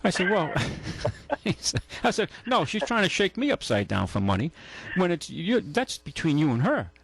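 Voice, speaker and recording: A man's voice: a little high, huge pitch swings, moderate pace, vocal fry, a little hiss or hum, poor audio. A man hosting a talk radio show, telling long anecdotes with many restarts and acting out the quotes. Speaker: radio show host and car dealer. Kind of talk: casual on-air storytelling. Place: radio studio.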